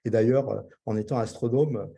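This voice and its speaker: A man speaks reflectively as a webinar guest. His voice is low, very dark and husky, and it sounds distant through video call audio. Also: steady tone, fairly quick. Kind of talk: webinar remarks.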